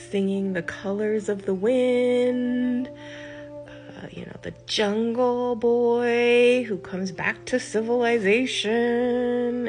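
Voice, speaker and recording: An older woman, a healer, speaking in a slow, deliberate monologue, stressing her points by repeating them and letting phrases trail off. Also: a little high, darkish tone. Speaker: healer giving a talk. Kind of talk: reflective spoken monologue. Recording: music behind the voice.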